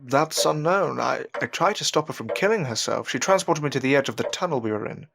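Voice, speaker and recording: A man playing an earnest, anxious upper-class gentleman learning magic in an audio drama, speaking politely and formally with a hesitant stammer. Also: mid-range voice, animated, a little fast, gravelly, noisy background. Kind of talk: audio drama acting with narrated reflections. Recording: voice over prominent background music.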